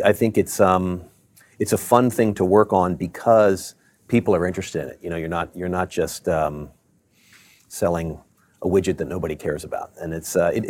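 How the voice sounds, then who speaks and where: Middle-aged exec from Maryland, very low voice, dry close sound, measured delivery with fillers. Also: male voice, darkish tone, no echo. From Baltimore, Maryland. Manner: measured, many fillers and restarts. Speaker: tech company CEO guest. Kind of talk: business interview answers.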